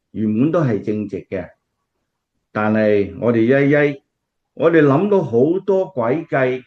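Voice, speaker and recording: A man's voice: medium pitch, lightly acted. Man playing an earnest Cantonese preacher, slightly muffled. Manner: explanatory, earnest, emphatic. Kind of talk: radio drama acting, sermon-like.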